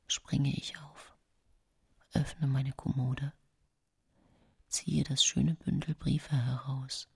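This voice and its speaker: An actress playing an anxious, secretive middle-aged woman poisoner, confiding in a hushed half-whisper in a radio play. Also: rumbling low, gravelly, breathy edge, dry recording, clean studio sound, full character voice. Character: anxious, secretive 19th-century woman poisoner confessing her story. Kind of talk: dramatic radio play monologue.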